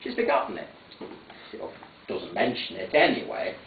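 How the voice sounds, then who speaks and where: A man's voice: medium pitch, huge pitch swings, moderate pace, vocal fry, noisy background. A middle-aged man, telling a dramatic monologue in a dry, wry, understated way, heard in a tinny, distant, echoey recording. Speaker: monologue narrator.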